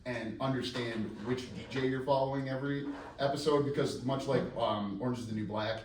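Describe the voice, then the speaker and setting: A man's voice: medium pitch, steady tone, a little fast, a little mellow. A man speaking as a screenwriter, casually answering audience questions in a rambling, self-deprecating, joking way. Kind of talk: casual live question-and-answer at a script reading. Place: live event room before an audience. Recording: echoey room, distant mic, faint noise.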